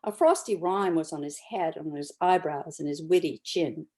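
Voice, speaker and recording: Expressive woman narrating a classic story. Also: low-pitched, moderate pace. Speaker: narrator in a community reading. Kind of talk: dramatic scripted storybook narration.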